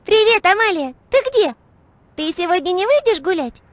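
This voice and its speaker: A woman voicing a cheerful, caring little girl who takes charge in a children's educational cartoon, eager and expressive. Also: extremely high pitch, moderate pace, slight background noise. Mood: excited, caring.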